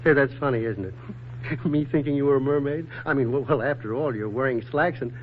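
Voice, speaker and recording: An actor playing a groggy, hungover, flirtatious wisecracker with a hesitant, pleading manner in 1940s radio style. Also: male voice, mid-range voice, brisk, close to an everyday voice. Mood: bemused. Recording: tinny, noisy 1940s radio recording.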